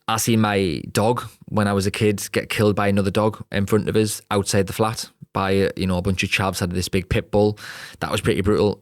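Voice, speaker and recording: A man's voice: lowish voice, no room sound, studio quality. A man in his thirties with a Geordie accent, hosting a podcast and telling his own story bluntly, with a streetwise tone and the odd laugh mid-sentence. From Newcastle upon Tyne, England. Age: 30s. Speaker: podcast host. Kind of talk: candid personal storytelling.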